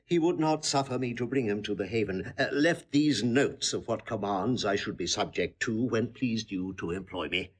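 A husky, earnest loyal servant. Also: male voice, fairly low pitch, normal speed, few pauses. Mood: deferential. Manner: respectful, earnest. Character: loyal, deferential manservant to a noble household. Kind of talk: classical Shakespearean audio drama acting.

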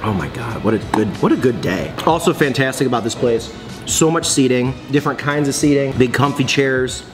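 A man in his forties vlogging in a bright coffee shop, excitable and gushing, laughing often as he tastes dishes. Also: medium pitch, a little fast, music in the background. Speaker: food vlogger. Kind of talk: casual food review chat. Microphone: phone camera at arm's length.

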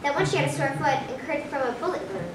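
A woman's voice: sits high, quick pace. A young female performer playing an imaginative little girl telling grisly tales in a staged reading, theatrically. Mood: grisly storytelling. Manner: theatrical, declaiming. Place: small hall, staged reading before an audience. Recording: roomy distant camera recording, noisy background.

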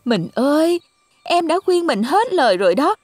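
A woman playing an anxious, gentle wife and mother in an animated folk tale, pleading and earnest. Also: high-pitched, moderate pace, no room sound. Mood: sad, reproachful. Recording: music behind the voice.

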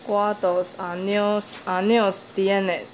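Flat, slow off-camera woman asking questions. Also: mid-range voice, a little hiss or hum, rough recording. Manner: slow, flat reading with stumbles. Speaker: interviewer. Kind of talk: reading interview questions for homework.

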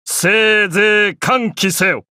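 Imperious young pharaoh, anime game, pompous archaic delivery, high voice, slow and stately. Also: male voice, pushed and bright, no echo, clean studio sound, full character voice. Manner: pompous, grandiose, archaic speech. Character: proud, imperious young pharaoh, godlike sun king.

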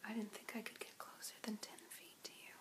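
A young woman playing a gentle, apologetic vampire in an ASMR roleplay, whispering slowly and deliberately with pauses. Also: lightly acted. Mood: solemn, intimate. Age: young adult. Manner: slow, hushed, deliberate, with pauses. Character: gentle, apologetic female vampire rescuer, ancient but youthful. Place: small room indoors. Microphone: phone or webcam at arm's length.

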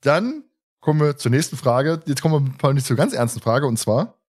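A man's voice: mid-range voice, very animated, brisk, darkish tone, soft background music. A man hosting a podcast in casual chat, joking and often chuckling as he talks. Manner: jokey, chuckles often while talking. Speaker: podcast host moderating the questions.

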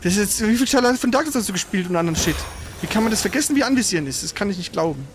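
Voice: mid-range voice, animated, rapid-fire, background noise. A player in a casual let's play, teasing and bossing a partner around with blunt, slangy exclamations. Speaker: gaming video host, co-op player.

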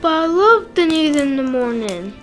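A high voice playing a slow, put-upon lazy farmer man in a homemade stop-motion cartoon, whiny and weary. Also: big pitch swings, over music.

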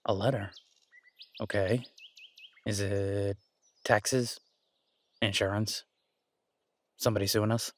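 A man's voice: on the low side, fairly even intonation, slow, long pauses, no room sound. A man playing a caring, easygoing boyfriend in an intimate audio roleplay, talking in a hesitant, reassuring, conversational way. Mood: affectionate, curious. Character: caring, easygoing human boyfriend of a werewolf woman.

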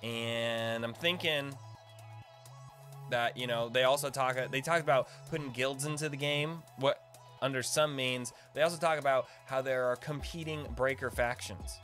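A man's voice: medium pitch, fairly even intonation, moderate pace, a bit bright. A man in his thirties doing casual, slangy livestream commentary on a game, speaking playfully into a close desk mic. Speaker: video game streamer and guide host. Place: small indoor room, streaming setup. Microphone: close desk mic on boom arm. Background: game music.

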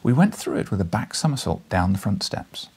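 A British man in his thirties reads and talks as a storyteller. His voice is lowish and husky, with lively swings in pitch. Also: a little fast. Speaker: audiobook reader. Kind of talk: expressive story narration and casual address. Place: small book-lined living room, indoors.

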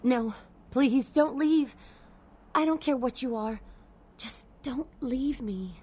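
An actress playing a devoted, naive young college student in a video game, speaking in a hesitant, pleading way. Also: female voice, high voice, slow pace, dark tone, husky, exaggerated voice. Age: young adult.

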